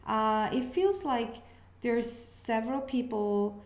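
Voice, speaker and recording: A Swedish-accented woman, Swedish by birth, gives a relaxed intuitive reading for her channel. Her speech is hesitant and musing, with pauses as she tunes in. The room around her is echoey. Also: fairly high pitch. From Sweden. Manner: hesitant, musing, pausing to sense messages. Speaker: astrology reader, channel host. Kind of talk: casual intuitive reading to camera.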